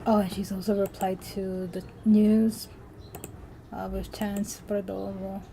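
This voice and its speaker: A young woman from the Philippines talks casually during a drawing livestream, her tone mellow and warm rather than bright. She rambles, restarts her sentences and leans on filler words. Faint keyboard typing sits in the background. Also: mid-range voice, level tone, takes it slowly, gravelly, a little hiss or hum, poor audio. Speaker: artist streaming while drawing.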